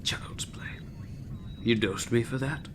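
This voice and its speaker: A man's voice: medium pitch, slow, whispered, lightly acted. A man playing a cantankerous, hard-drinking chief medical officer in a sci-fi comedy audio drama, sardonic, theatrical and full of contempt. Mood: scornful, dry.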